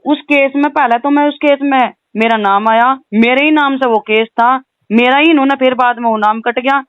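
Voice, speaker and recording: A woman's voice: high voice, moderate pace, clear, resonant tone, no room sound. A woman with a western Uttar Pradesh accent talks on a recorded phone call in an insistent, aggrieved way, often adding questioning tags. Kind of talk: heated phone conversation.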